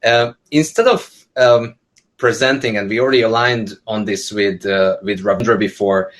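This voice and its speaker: A man's voice: lowish voice, fairly even intonation, normal speed, a bit bright. A man in his thirties from Hungary, talking about his work to a webinar panel over a video call, conversational and full of fillers. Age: 30s. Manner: many fillers and restarts, conversational. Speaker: panel speaker, entrepreneur. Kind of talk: casual spoken talk. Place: indoor room, video call.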